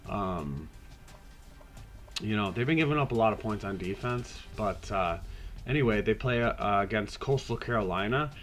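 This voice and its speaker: A man hosting a podcast, talking casually through a video game season in a raspy voice, hoarse from a cold, laid-back and rambling with the odd swear. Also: lowish voice, expressive, moderate pace, a bit bright. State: hoarse, getting over a cold. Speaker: podcast host.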